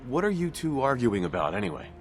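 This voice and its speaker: A man's voice: medium pitch, sing-song, quick pace, soft background music, lightly acted. An actor playing an earnest, weary father in a video game, searching for his son with restrained seriousness. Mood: curious, guarded. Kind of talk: video game dialogue acting.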